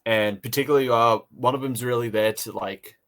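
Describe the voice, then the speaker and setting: A man's voice: mid-range voice, steady tone, fairly quick, fairly bright tone. A man co-hosting a casual review podcast, talking in a rambling way, repeating phrases and voicing his own thoughts.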